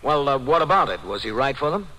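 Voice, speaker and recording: A man with an American accent acting as a patient, authoritative police captain in an old radio drama, measured and businesslike. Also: medium pitch, sing-song, pushed and bright. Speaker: actor and first-person narrator. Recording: faintly noisy old radio broadcast.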